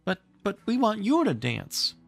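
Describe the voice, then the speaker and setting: A man's voice: sits high, wildly swooping pitch, unhurried, creaky, soft background music, pitched above the performer's own voice, heavily acted. A man voicing a squabbling, stubborn little storybook boy who speaks in a petulant, insistent way. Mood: eager, begging.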